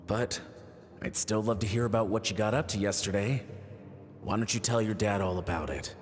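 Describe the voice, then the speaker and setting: A man voicing an easygoing, indulgent father in a video game, with a folksy, gently teasing manner. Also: fairly low pitch, moderate pace, long reverb tail, natural voice. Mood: playful, indulgent. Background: music.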